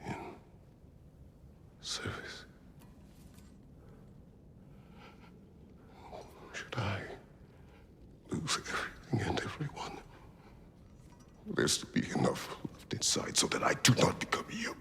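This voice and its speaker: An actor playing a weary, remorseful old war god in a video game cutscene, speaking solemnly and haltingly in a gravelly, breathy voice. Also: male voice, mid-range voice, huge pitch swings, pushed and bright, heavily acted. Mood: searching, uncertain. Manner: halting, solemn, weighty pauses. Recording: long reverberant hall, faint noise.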